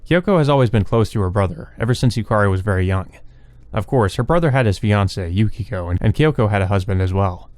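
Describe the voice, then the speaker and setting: A woman's voice: rumbling low, expressive, fast talker, darkish tone, dry recording. A woman reading visual novel narration aloud with casual commentary of her own. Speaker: let's player.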